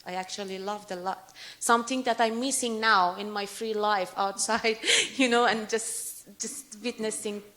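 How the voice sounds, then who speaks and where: A woman answering questions after a talk on her paintings, speaking in in an earnest, halting and emotional way. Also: mid-range voice, steady tone, bright, forceful tone. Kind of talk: lecture Q&A answer.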